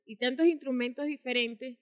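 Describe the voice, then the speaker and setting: A woman's voice: sits high, moderate pace. A woman hosting an interview program, presenting and putting questions to a guest. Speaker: program co-host. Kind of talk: scripted show introduction and interview.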